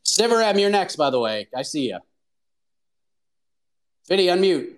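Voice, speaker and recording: A man's voice: high-pitched, big pitch swings, unhurried. A man hosting a live call-in show, talking casually with lots of fillers. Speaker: host.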